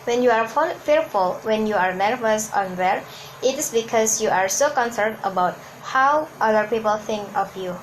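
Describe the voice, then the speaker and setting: A woman from Hong Kong, a native Cantonese speaker, coaches viewers on confident speaking in an earnest, steady way, often restarting a word mid-phrase. Her voice sits a little high, with a faint hum of room noise behind her. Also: normal speed, low-quality recording. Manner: earnest, repeats and restarts words. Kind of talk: instructional talk to camera.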